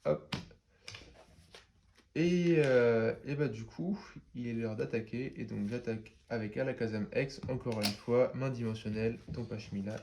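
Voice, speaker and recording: A man's voice: mid-range voice, unhurried, a little mellow, a little hiss or hum. A man with a stuffy head cold, casually explaining his moves in a card game match with many fillers. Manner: chatty, explains each move with many fillers. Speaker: card game player and channel host.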